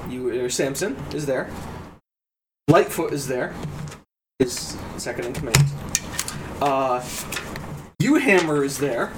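A man narrating as game master, laughing often and stumbling over self-corrections. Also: on the high side. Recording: distant mic, noisy room.

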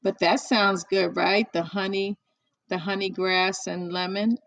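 Slow-talking podcast host with a creaky voice. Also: mid-range voice, level tone, fairly bright tone, no echo. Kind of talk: casual live chat.

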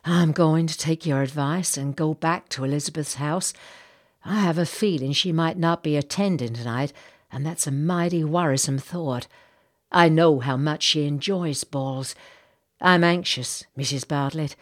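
A woman voicing an earnest, courteous gentleman captain, speaking in a grave, formal, measured way for an audiobook. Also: low-pitched. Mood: anxious.

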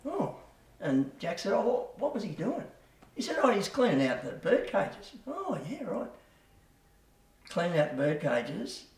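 A man's voice: high voice, huge pitch swings, unhurried, darkish tone, distant mic. An older man, a podcast guest, telling a rambling yarn in casual chat, full of slang and chuckles. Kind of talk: casual storytelling. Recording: roomy, from off the mic.

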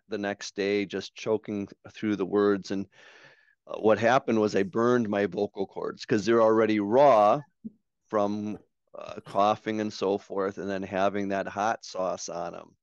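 A man's voice: lowish voice, no room sound. A man who is a pastor and author, hosting a podcast and leading the conversation with stories and questions. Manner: storytelling, leads the talk, asks questions. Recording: slightly dull, lo-fi mic.

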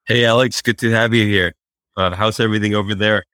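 A man being interviewed as a startup founder, answering in a halting way with fillers and restarts. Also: on the low side, fairly even intonation, sharp tone, dry recording. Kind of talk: remote interview. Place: Fort Worth, Texas.

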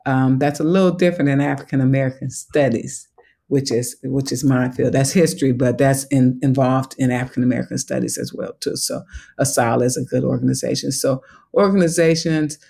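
A man's voice: medium pitch, fairly even intonation, brisk, creaky, mellow. A man from Chicago with a Chicago accent carrying a Southern twang, a museum education director speaking as an interview guest, thoughtful and enthusiastic, laughing easily. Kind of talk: conversational interview.

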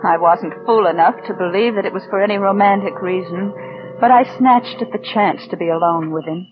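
A thin, band-limited vintage radio recording carries a plain young woman played by an actress. She is meek and quietly resentful, speaking at a middle pitch with wistful restraint in classic radio drama style. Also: fairly quick, dull audio, music in the background, lightly acted. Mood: wistful, confessional. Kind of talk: 1940s-50s radio drama acting and first-person narration.

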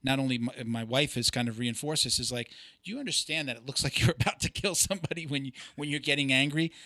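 A man's voice: mid-range voice, fast, sharp tone, dry recording, studio quality. A middle-aged man hosting a podcast, telling stories in a rambling, self-reflective way. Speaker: podcast host. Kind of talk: casual chat.

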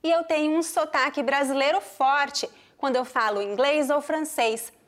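A woman from Brazil with a mixed Brazilian accent, teaching a pronunciation lesson with clear, deliberate explanations and spoken examples. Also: high-pitched. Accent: Brazilian Portuguese, mixed regional. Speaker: Portuguese teacher.

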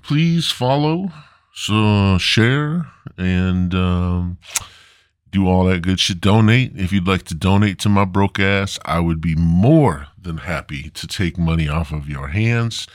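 Slow, low-voiced male podcast host. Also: no room sound, studio quality. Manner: mock-grandiose, drawn-out, theatrical delivery; crude, foul-mouthed. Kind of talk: rambling casual solo comedy podcast.

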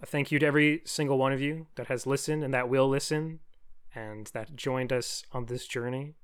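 A man's voice: mid-range voice, steady tone, a little fast, clear, resonant tone. A man co-hosting a podcast in casual chat, laid-back and thoughtful with dry humor. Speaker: podcast co-host and editor.

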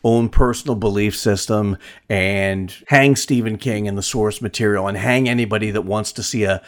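A man's voice: lowish voice, steady tone, normal speed. A man hosting a movie review podcast, talking casually and bluntly, with some swearing and a disgruntled edge. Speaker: film review podcast host.

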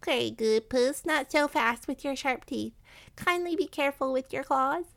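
A woman's voice: high-pitched, unhurried, gravelly, fairly bright tone, voice pushed up, exaggerated voice. A woman voicing an anxious, honourable male rat in a children's story, pleading in wordy, very polite phrases. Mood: pleading, courteous. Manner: pleading, wordy, formally courteous. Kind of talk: children's animal storybook character.

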